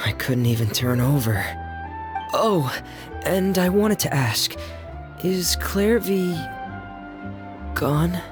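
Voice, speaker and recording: A man playing an earnest, soft-spoken young member of an orphanage in a video game, reflective and a little hesitant. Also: on the high side, lively intonation, slow pace, long pauses. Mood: relieved, hesitant. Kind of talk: dramatic video game dialogue. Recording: game music behind the voice.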